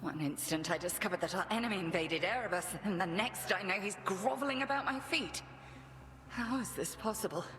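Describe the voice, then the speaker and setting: A woman's voice: mid-range voice, brisk, close to an everyday voice. A woman playing a stern, vengeful witch headmistress in a video game, with haughty, archaic, formal speech. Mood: alarmed, indignant. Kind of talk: dramatic video game voice acting. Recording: long hall reverb, clear background noise.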